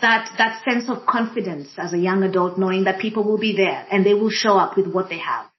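A woman from Kenya, a diversity and inclusion practitioner speaking thoughtfully on a panel, pausing often with fillers. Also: mid-range voice. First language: Swahili. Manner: thoughtful, many fillers and restarts. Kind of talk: reflective panel conversation. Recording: dull lo-fi audio.

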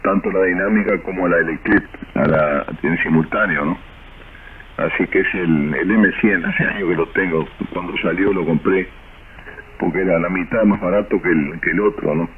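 A man's voice: low voice, moderate pace. A middle-aged or older man with a Rioplatense Spanish accent, chatting casually as a ham radio operator over shortwave. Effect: shortwave radio transmission. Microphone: dual-capsule radio desk or hand microphone. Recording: muffled shortwave radio, loud static, distant.

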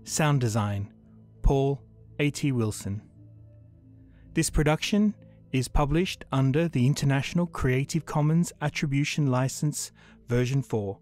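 A man reads scripted credits as the announcer. His delivery is slow and his pitch mid-range, over faint music. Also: a little mellow.

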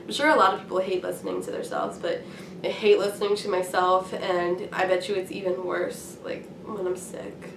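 Hoarse woman chatting from across a room. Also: on the low side, moderate pace. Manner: rambling and chatty, many ums. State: hoarse from a cold, coughing. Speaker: craft vlogger. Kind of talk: casual chat to camera. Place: small room indoors at home. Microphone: camera at a distance. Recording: roomy, from a distance, faint hiss.

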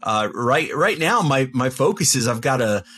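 A man's voice: mid-range voice, expressive, normal speed, pushed and bright. A middle-aged man, a professor and guest, chatting with dry humor over a video call into a studio mic in a home office. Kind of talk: casual chat.

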